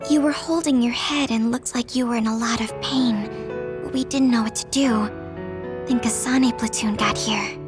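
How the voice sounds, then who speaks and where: Woman as high-voiced caring anime girl. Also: steady tone, normal speed, music in the background, natural voice. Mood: concerned. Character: caring, anxious teenage girl comrade. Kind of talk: anime game dub acting.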